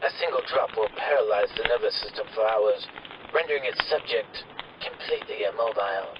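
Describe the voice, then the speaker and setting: A man's voice: fairly low pitch, brisk, background noise, lightly acted. A man playing a corruptible doctor and secret lover in a radio melodrama, earnest and theatrical. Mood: conspiratorial. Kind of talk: old-time radio melodrama acting. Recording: muffled, loud music behind.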